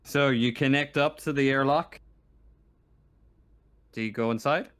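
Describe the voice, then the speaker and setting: A man runs a tabletop roleplaying game in a casual, hesitant style. His voice is mid-pitched and recorded dry and close. He narrates in halting phrases, often searching for the next word. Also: fairly even intonation, a bit bright, clear, resonant tone, no echo. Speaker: game master.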